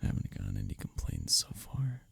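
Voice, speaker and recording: A man acting an arrogant, teasing office rival who is secretly smitten, in an intimate audio roleplay, often breathy and near a whisper. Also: extremely low pitch, wildly swooping pitch, no room sound, heavily acted. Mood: smug, teasing. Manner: smirking, teasing, halting when flustered. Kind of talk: intimate ASMR audio roleplay addressed to the listener.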